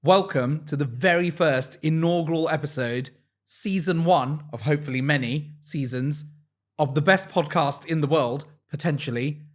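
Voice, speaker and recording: A man's voice: fairly high pitch, normal speed. A young man with an English accent, co-hosting a podcast in a chatty, jokey, self-deprecating way. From England. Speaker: podcast co-host. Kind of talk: casual chat.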